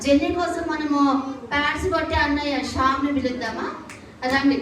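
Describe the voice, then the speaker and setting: High-voiced woman hosting in an echoey hall. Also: a little fast, a little hiss or hum. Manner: prompting and encouraging children. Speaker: teacher hosting a children's puppet show. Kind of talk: live children's show presenting. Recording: echoey crowded hall PA, distant, loud music.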